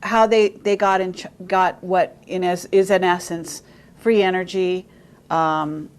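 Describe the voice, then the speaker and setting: A woman hosting a podcast, putting questions to a guest in a halting way, with fillers and restarts. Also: fairly low pitch, fairly even intonation, clean tone.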